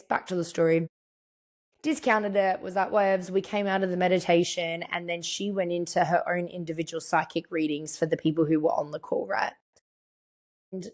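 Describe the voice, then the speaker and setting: A young woman with a croaky, partly lost voice, chatting reflectively as a podcast host and voicing her own thoughts as she tells a story. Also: on the low side. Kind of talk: casual storytelling monologue.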